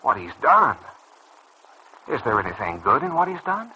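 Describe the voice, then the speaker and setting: A man's voice: mid-range voice, very animated, moderate pace, bright, forceful tone. A man acting in a vintage radio soap as a stubborn, judgmental young doctor, insistent and defensive. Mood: doubtful, stubborn. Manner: insistent, earnest, defensive delivery. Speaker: radio serial actor. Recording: dull, noisy old radio recording.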